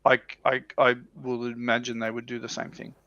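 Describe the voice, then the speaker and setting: A man giving his opinions in casual, halting chat as a panelist on a hobby livestream, often rephrasing as he goes. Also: medium pitch, flat delivery, vocal fry, a bit bright, no echo, dull audio. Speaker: livestream panelist on a tabletop wargaming show.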